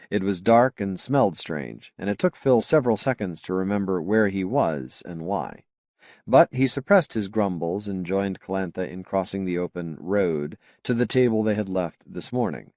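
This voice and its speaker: A man reading a science fiction novel as an audiobook narrator, with measured, clearly phrased delivery. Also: low-pitched, lively intonation, a little mellow, no room sound, clean studio sound.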